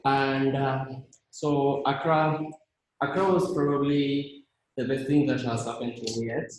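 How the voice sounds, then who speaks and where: A man's voice: medium pitch, fairly even intonation, echoey room, low-quality recording. A young man from Kenya casually presenting his slides, hesitant and full of fillers and restarts. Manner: halting, many fillers and restarts. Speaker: team member presenting himself.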